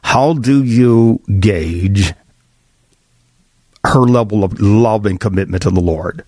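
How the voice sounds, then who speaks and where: A man, a bishop hosting a podcast roundtable, asking questions in deliberate, formal phrasing. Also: lowish voice, a little mellow, no echo. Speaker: podcast host. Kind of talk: roundtable interview.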